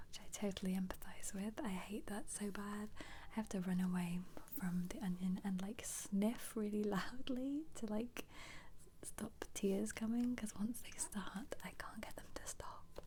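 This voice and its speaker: Female ASMR creator: hushed, breathy whisper, mellow tone, gentle casual commentary, faint hiss behind the voice. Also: mid-range voice. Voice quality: soft, breathy, mostly whispered. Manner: gentle, chatty, musing aloud. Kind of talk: ASMR, soft-spoken casual book flip-through commentary.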